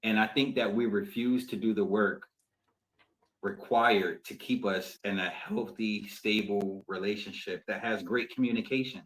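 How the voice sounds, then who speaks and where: A man co-hosting a podcast in casual conversation, thinking aloud in a reflective, deliberate way. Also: on the low side, steady tone, a bit bright, rough recording. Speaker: podcast co-host.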